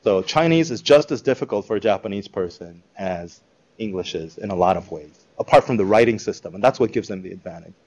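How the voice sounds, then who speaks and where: A man giving an informal talk to a live audience, conversational and animated, acting out quoted reactions. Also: lowish voice, brisk, highs cut off. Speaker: speaker presenting a school project.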